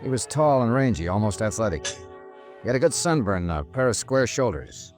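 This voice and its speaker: An actor playing a cool, probing insurance investigator who narrates in a clipped, dry way in 1950s radio detective style. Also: male voice, fairly low pitch, very animated, normal speed, darkish tone, faint music behind. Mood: dry, matter-of-fact. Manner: clipped, dry, matter-of-fact. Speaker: narrator and lead character.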